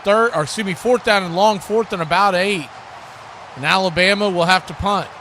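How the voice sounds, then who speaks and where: An American man with a high-pitched voice commentates a football game live. He calls the action in short, clipped phrases, as the host of a play-by-play stream. Clear ambient noise sits behind his voice. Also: moderate pace, bright, forceful tone. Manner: clipped present-tense calls.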